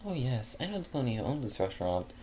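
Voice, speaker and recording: A man reading a fanfic aloud, voicing a gracious, generous female pony with a higher voice. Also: very animated, quick pace, darkish tone, a little hiss or hum, natural voice. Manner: gracious, polite.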